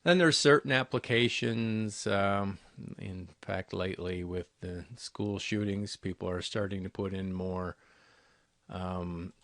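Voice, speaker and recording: Male product presenter, low register, conversational and slightly halting delivery, close dry sound. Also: fairly bright tone, dry recording. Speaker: intercom company salesperson presenting products. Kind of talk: unscripted product walkthrough over screen recording.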